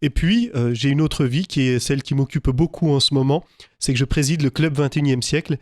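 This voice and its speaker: A man's voice: medium pitch, fairly quick, no echo. A man from Lorraine, a business lawyer, answering a radio interview in a studio, speaking with many hesitations and fillers. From Lorraine, France. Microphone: studio microphone.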